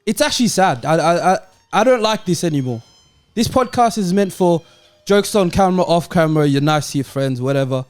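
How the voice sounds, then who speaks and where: A man's voice: fairly high pitch, expressive, brisk, few pauses, no room sound, faint music behind. A man co-hosting a football podcast with a plaintive, melodramatic delivery, doing a mock-sad comic monologue to his friends. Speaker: podcast co-host.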